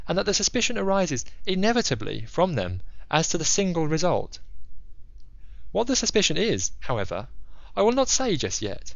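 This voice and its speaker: A man's voice: fairly high pitch, no echo, highs cut off. A man playing a self-assured, analytical detective in an audio drama, speaking formally and methodically with theatrical emphasis. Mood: measured, deliberate. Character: C. Auguste Dupin, analytical, self-assured male detective in Paris. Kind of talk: theatrical audiobook character monologue.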